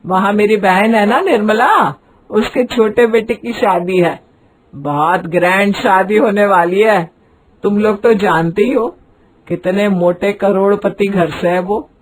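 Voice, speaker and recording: A woman's voice: medium pitch, measured pace, a little hiss or hum, lightly acted, low-quality recording. A woman voicing a showy, demanding middle-aged mother-in-law with a commanding, emphatic manner in a cartoon story. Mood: bossy, excited. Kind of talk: acted cartoon story dialogue.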